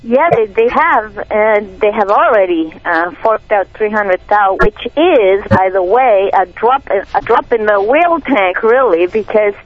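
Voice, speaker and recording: A woman's voice: medium pitch, animated, unhurried, hardly any pauses. Woman, Peruvian Spanish accent, earnest activist, dull lo-fi radio sound. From Peru. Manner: earnest, indignant, frequent restarts. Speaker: animal rights activist guest. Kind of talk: radio interview.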